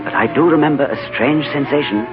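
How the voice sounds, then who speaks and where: An actor playing a calm, urbane, philosophical adventurer, speaking politely and eloquently in 1940s radio drama style. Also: male voice, medium pitch, fast talker, natural voice. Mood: awed, reflective. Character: calm, urbane, philosophical diplomat-adventurer telling his tale. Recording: tinny old radio recording, music behind.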